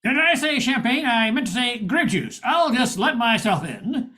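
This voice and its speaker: An older woman playing a confident, brisk private detective in an amateur old-time radio drama. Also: fairly high pitch, very animated, bright, forceful tone. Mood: slyly insistent.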